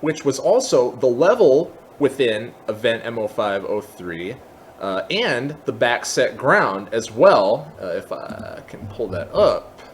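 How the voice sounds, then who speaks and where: A man hosting a software tutorial, explaining step by step in a methodical way. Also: medium pitch, big pitch swings, takes it slowly, slight background noise. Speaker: tutorial host.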